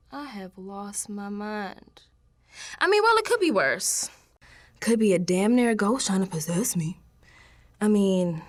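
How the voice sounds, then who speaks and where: A woman's voice: fairly high pitch, no room sound. An actress playing an exasperated, sassy young American woman in a colloquial radio drama monologue, slangy and confessional. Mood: self-doubting, wry. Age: young adult. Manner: slangy, sassy, animated, sighing asides.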